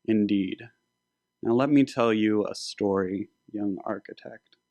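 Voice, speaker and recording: A man voicing a commanding, manipulative biblical warrior king in a formal, measured, grandiose manner. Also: fairly low pitch, a little mellow, no echo. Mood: grave, imposing.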